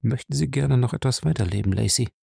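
Guy doing a hard menacing captain in an audiobook, barks curt orders, gruff, very dry recording. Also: lowish voice, moderate pace, a little mellow, no room sound. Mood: threatening. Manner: curt, gruff, commanding, threatening. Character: grim, brutal captain, a menacing male interrogator. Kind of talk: audiobook character acting.

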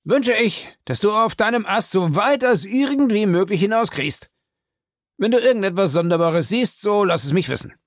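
A reader voicing an excitable, obsessive man who calls out commands in an urgent voice. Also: mid-range voice, moderate pace, no room sound, studio quality, pitched above the performer's own voice, close to an everyday voice. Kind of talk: audiobook character voice.

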